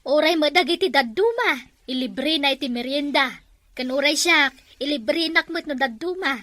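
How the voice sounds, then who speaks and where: A woman's voice: sits high, normal speed, a bit bright, no echo. A woman acting in a comic village drama, chatty and gossiping. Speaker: comedy drama actor.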